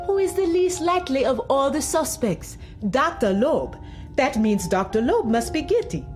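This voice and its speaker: Emphatic nurse, swooping delivery. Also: female voice, high voice, very animated, normal speed, music in the background. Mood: certain. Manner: emphatic, certain. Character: assured Nigerian woman nurse, avid detective-fiction reader. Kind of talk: audio drama acting.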